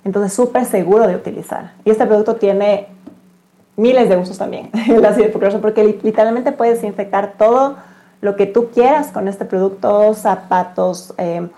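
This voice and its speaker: A woman's voice: medium pitch, moderate pace, warm tone. A woman speaking as an interview guest for a business, explaining things conversationally and often answering her own questions. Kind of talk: casual explanatory interview talk.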